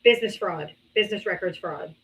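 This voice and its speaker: A woman's voice: mid-range voice, brisk, low-quality recording. Blunt, profane woman debate host. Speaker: host of a live political debate stream. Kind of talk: confrontational live-stream debate.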